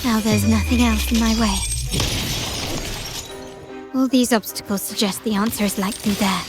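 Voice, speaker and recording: An actress playing a poised young noblewoman in a video game, speaking her thoughts in measured, formal phrases. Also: on the high side, steady tone, loud music behind the voice, lightly acted. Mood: pensive, resolute. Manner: measured, deliberate, formal diction. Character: poised young noblewoman investigator of a mafia family, wary and resolute. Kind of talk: video game voice acting, inner monologue.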